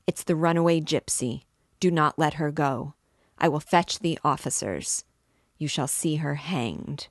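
A woman reading the part of an obsessed, menacing older male priest, declaiming his threats forcefully and commandingly in a low, slow, dry voice. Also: dry recording. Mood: vengeful.

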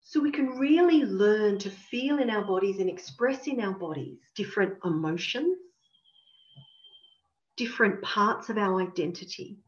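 Woman host, slow thoughtful questions, echoey room, dull lo-fi sound. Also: mid-range voice, animated. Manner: reflective, slow, emphatic questions. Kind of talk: coaching talk. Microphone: video call.

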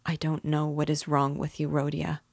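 A woman's voice: low-pitched, completely flat delivery, moderate pace, gravelly, a little mellow, husky, no echo, exaggerated voice. A woman voicing an anxious, tearful middle-aged mother who pleads emotionally with her son. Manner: pleading, emotional, breaking into sobs. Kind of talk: audiobook character reading.

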